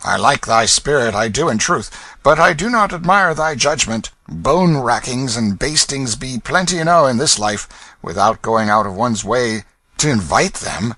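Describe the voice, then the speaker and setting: A crafty young beggar-thief, voiced by a male narrator sneers and bullies in a raised, bright voice. He shifts between scoffing and whining, all of it dry and close to the mic. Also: mid-range voice, animated, normal speed, no room sound, voice pushed up. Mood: scoffing advice. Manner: scornful, wheedling, bullying.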